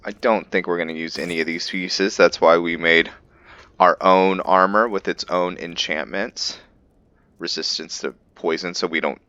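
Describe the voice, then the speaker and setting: Very deep-voiced gamer musing aloud. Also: male voice, bright, forceful tone, no echo, lo-fi sound. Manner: thinking aloud, musing and self-correcting. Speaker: gamer narrating a roleplay playthrough. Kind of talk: casual let's-play commentary.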